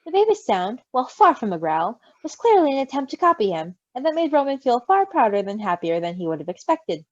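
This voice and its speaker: Lady reading a story out loud, high swoopy voice, super expressive, dry slightly muffled mic. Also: brisk, no echo. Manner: expressive, gushing, giggly reactions. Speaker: story reader. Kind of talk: animated read-aloud of fan fiction with casual asides. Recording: dull, lo-fi close recording.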